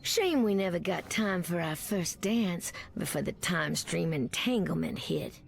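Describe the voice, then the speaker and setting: Tough flirty superheroine, American Southern drawl, creaky edge, animated melody, video game. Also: female voice, fairly low pitch, unhurried, soft background music, full character voice. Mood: affectionate. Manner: drawling, folksy, teasing. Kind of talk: video game character voice acting.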